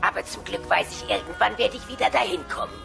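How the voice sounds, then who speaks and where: A woman playing a cantankerous old dinosaur grandmother in a comedy audio play, with a gravelly voice and snappish, sarcastic delivery. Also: medium pitch, quick pace. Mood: dry. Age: older. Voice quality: creaky, gravelly. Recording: echoey audio with music underneath.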